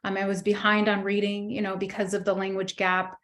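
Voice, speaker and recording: A middle-aged woman born in Egypt, a guest in an interview, telling her life story thoughtfully with many pauses and restarts. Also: medium pitch, flat delivery. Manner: thoughtful, many fillers and restarts, warm recollection. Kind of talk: reflective personal storytelling.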